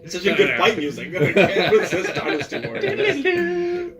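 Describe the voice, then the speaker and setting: A man bantering casually as a player in a tabletop role-playing game, jokey and quick to laugh as he talks. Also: high-pitched, huge pitch swings, over a noisy crowd. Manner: jokey, breaks into laughter while talking. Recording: guitar music loud behind table talk. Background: loud guitar background music.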